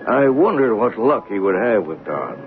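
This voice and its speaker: A plain-talking train conductor telling a story on old radio, lowish voice, tinny sound. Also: male voice, big pitch swings. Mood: reflective. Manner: folksy, halting storytelling. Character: train conductor recounting events, plain-spoken, observant. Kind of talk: 1970s radio drama narration. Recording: noisy, narrow-band old radio broadcast.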